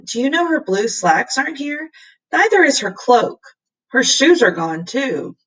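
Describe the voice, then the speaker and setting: A woman's voice: high voice, very animated, a bit bright, breathy edge, recorded from a distance, voice pushed up, exaggerated voice, poor audio. Woman reading as a worried, earnest young boy. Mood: puzzled. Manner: hurried, earnest. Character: worried young boy, polite, hurried, anxious.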